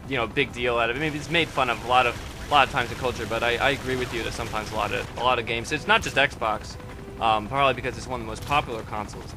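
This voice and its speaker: A young man hosting a casual gaming discussion show, thanking viewers and moving the panel along. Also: mid-range voice. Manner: quick, upbeat, thanks viewers. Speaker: show host.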